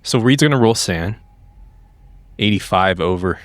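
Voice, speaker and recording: A man's voice: on the low side, expressive, unhurried, no room sound. A man playing a tabletop game, narrating his character's actions in the third person in a conversational way.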